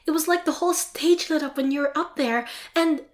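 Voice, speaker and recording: A young woman playing a shy, nervous, excitable theatre student in an ASMR roleplay, hesitant, flustered and apologetic. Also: high voice, brisk, close to an everyday voice. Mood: excited, flustered. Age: young adult. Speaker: amateur voice actor.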